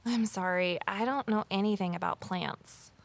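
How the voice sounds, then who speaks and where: An actress playing a curious, eager former journalist in an audio drama, with a conversational, inquisitive delivery. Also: female voice, medium pitch, moderate pace, no echo, lightly acted. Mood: apologetic. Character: curious female ex-journalist, eager new conservation worker. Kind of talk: audio drama dialogue and first-person narration. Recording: clear background noise, outdoor ambience.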